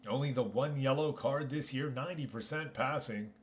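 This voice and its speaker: A man's voice: mid-range voice, moderate pace. A man hosting a gaming livestream, talking casually and chummily to his viewers as he comments. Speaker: livestream host.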